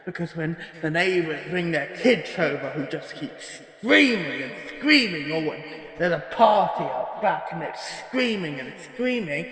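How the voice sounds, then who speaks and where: Man acting a swaggering thug, echoing and slow. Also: a little high, animated, a bit bright. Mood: boastful, menacing. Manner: rambling, swaggering, sweary, self-interrupting. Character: boastful foul-mouthed British thug giving a violent statement. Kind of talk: parody horror fiction podcast monologue. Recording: roomy echo with long reverb.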